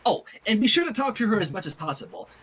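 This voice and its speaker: A stern, fussy young pony princess, acted out by a man, pitched high with big swoops. She sounds bossy and exasperated, like an anxious caretaker in an animated fan fiction, with a slightly husky edge. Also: fast, heavily acted. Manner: exasperated, bossy, over-careful instructions. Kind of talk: fan fiction character reading.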